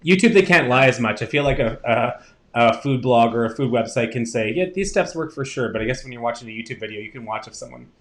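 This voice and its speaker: A man's voice: medium pitch, lively intonation, fast, echoey room. A man co-hosting a casual chat show from home over a video call, upbeat and chatty. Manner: upbeat and chatty, laughs often. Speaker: co-host.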